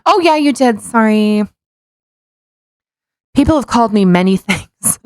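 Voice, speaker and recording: A woman's voice: a little high, expressive, a little slow, dry recording, clean studio sound. A woman co-hosting a podcast in casual chat, with blunt, teasing, sarcastic commentary and mocking reading aloud. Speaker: podcast co-host.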